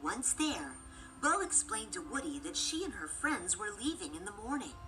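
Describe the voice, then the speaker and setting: A woman reading a scripted children's storybook as narrator, expressive and clearly phrased. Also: mid-range voice, normal speed, music in the background, rough recording.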